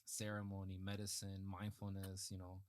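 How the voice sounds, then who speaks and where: A man's voice: deep voice, monotone, moderate pace, clear, resonant tone. A man in casual podcast conversation, speaking earnestly and repeating key phrases for emphasis. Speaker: podcast host.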